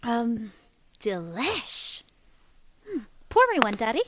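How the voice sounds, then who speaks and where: An actress playing a defiant, sardonic young daughter of a wealthy family in an audio drama. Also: female voice, on the high side, very animated, slow pace, noisy background. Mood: sly, sardonic.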